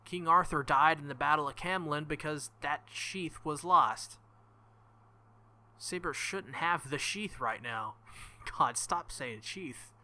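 A man's voice: on the high side, takes it slowly, sharp tone. A man reading a visual novel aloud as a let's-play host, breaking into jokey, exclamatory asides.

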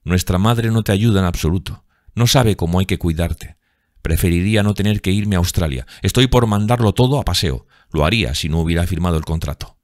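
Un hombre que da voz a un chico de dieciséis años, celoso y malhumorado, con tono hosco y resentido, en un audiolibro. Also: male voice, sits low, brisk, dry recording, studio quality, voice pushed up, close to an everyday voice. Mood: sullen. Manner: gruff, resentful, forceful. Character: sullen, jealous, hot-tempered sixteen-year-old working-class brother.

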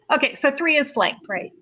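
A woman's voice: sits high, sharp tone. A woman playing a tabletop game over a video call, playful and fond of silly sound effects. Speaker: player.

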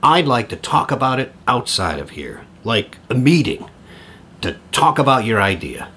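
An actor playing an awkward, earnest middle-aged divorced man in an audio drama, halting and stammering, eager to please. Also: mid-range voice, very animated, bright, forceful tone, husky, heavily acted. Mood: earnest, persuading. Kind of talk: naturalistic audio drama acting.

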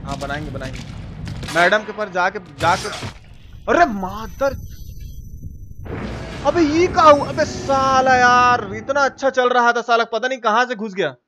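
A man's voice: very high voice, measured pace, long pauses. A man with a Bihari Hindi accent doing colloquial, slangy live commentary on a gaming stream, swearing now and then. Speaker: game streamer. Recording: clearly noisy game stream audio.

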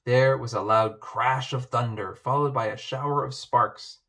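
A man reading a children's picture book aloud as narrator, in an expressive way. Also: mid-range voice, measured pace, gravelly.

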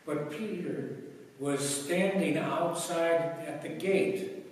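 An older man reads scripture solemnly in a deliberate, measured manner at a church lectern. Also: on the high side. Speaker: church lay reader. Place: brick-walled church sanctuary at a lectern. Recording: echoey church hall, distant microphone.

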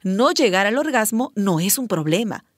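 Lively, animated radio advice doctor, played by a woman. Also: medium pitch, normal speed, no room sound, studio quality, lightly acted. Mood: frank, reassuring. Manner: chatty, teasing, emphatic. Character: frank, playful woman doctor hosting a sex-advice radio show. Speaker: radio advice show host. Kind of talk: radio drama advice programme.

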